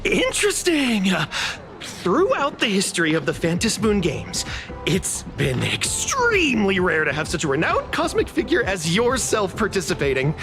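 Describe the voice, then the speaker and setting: A woman playing an eager, polite young interviewer in an anime-style game. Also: medium pitch. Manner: probing, animated. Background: game music.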